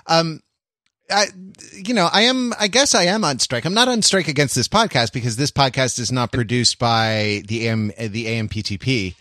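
An American man co-hosts a podcast in a casual, jokey register. He speaks at a middling pitch and wanders through asides as he goes. The sound is dry, with almost no room. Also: expressive, normal speed, bright, forceful tone, no room sound. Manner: digressive, jokey. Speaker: podcast co-host. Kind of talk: casual chat.

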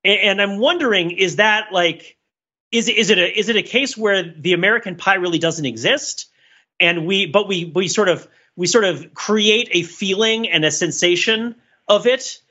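Bright-voiced, rambling man, higher-pitched. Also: moderate pace, breathy edge, highs cut off. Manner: rambling, digressive, analytical. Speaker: podcast panelist. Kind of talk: casual pop culture discussion.

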